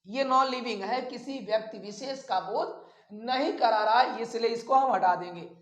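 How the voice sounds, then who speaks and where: A man's voice: extremely high pitch, normal speed, lo-fi sound. A man teaching a grammar lesson in a didactic, repetitive way, addressing his listeners as friends. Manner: didactic, repeating key terms and addressing viewers as friends. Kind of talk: classroom-style grammar lesson. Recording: echoey room sound.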